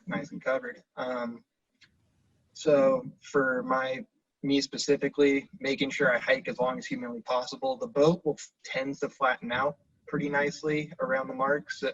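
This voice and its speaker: A man who works the mast on a racing sailboat, explaining his steps in a practical, steady way with many ums. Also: medium pitch, husky, dry recording, dull audio. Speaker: sailing crew mast man. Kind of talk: webinar explanation. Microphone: video call.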